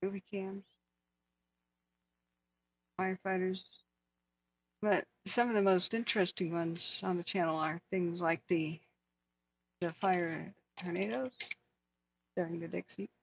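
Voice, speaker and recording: Woman livestream host, husky and hoarse, earnest chat, slightly muffled lo-fi audio. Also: on the low side, fairly even intonation, unhurried, pauses often, no echo. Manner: earnest, talks to chat viewers by name. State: hoarse, losing her voice. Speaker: wildfire tracking livestream host.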